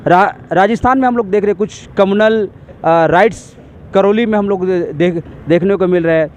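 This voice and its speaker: A male reporter asking questions in a street interview, halting and restarting his words. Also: sits high, darkish tone, muffled recording, slight background noise.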